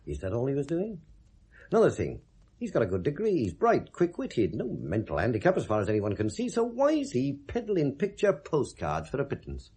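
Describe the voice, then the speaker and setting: Sceptical British police detective, swooping intonation. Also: male voice, medium pitch, wildly swooping pitch, brisk, dark tone, slightly rough. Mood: puzzled, musing. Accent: British English. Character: ageing male police detective, sceptical, worldly, probing. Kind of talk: 1970s British radio drama acting.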